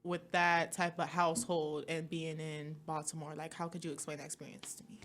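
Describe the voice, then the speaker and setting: A young woman hosting a college radio interview, chatty and expressive, using casual slang. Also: deep voice, fairly quick, slight background noise. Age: young adult.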